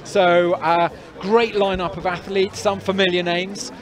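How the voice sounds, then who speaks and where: A man's voice: high voice, level tone, unhurried. A man doing live sports commentary and interviews, chatty and rambling with many fillers and restarts. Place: outdoors at a climbing event. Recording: crowd noise behind.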